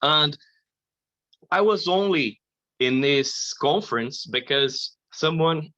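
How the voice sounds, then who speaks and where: A man shares his faith story slowly and haltingly, with a bright, forward tone. His voice sounds close and dry but a little dull and lo-fi. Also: on the high side, animated, no echo. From Brazil. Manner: slow, halting, earnest, with frequent "you know". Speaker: conference speaker giving testimony. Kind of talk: personal faith testimony.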